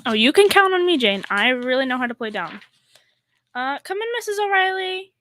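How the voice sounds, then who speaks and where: A woman's voice: high voice, very animated, moderate pace, fairly bright tone, slight background noise. A young woman playing a naive, easily frightened roommate in an old-time radio comedy style. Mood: naive, earnest.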